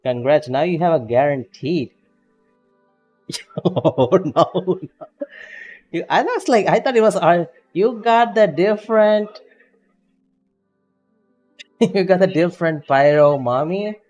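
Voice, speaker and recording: Male gaming streamer, unhurried, chatty, faint game music under a muffled mic. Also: sits high. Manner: chatty, laughs often, reacts loudly to game results. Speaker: streamer and VTuber. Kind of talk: casual gaming stream chat.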